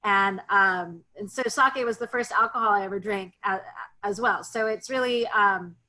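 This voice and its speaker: A woman guest on a video call, chatting easily with plenty of ums and self-corrections. Her pitch sits in an ordinary middle range, and she sounds like someone in her thirties or forties. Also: moderate pace, sharp tone. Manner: chatty, frequent ums and restarts. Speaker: sake expert guest. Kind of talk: casual virtual tasting talk.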